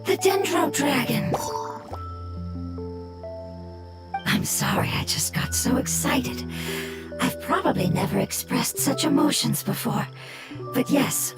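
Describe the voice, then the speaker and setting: A woman voicing a small, gentle, wise child-like goddess in a video game, speaking softly and thoughtfully. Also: high voice, animated, a little slow. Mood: delighted. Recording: game music behind the voice.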